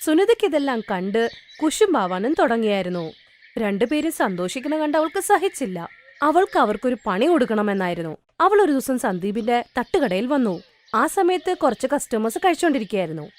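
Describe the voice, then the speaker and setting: High, expressive woman storyteller. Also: brisk. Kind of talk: scripted children's story narration.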